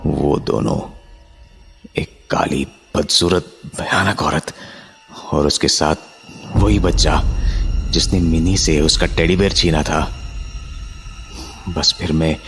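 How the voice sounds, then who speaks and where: A man with a deep voice narrates a horror tale as if he lived it. He builds suspense with drawn-out, dramatic pauses. Music plays behind him. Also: fairly even intonation. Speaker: narrator of a horror story, voicing its main character. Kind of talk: dramatic first-person horror storytelling.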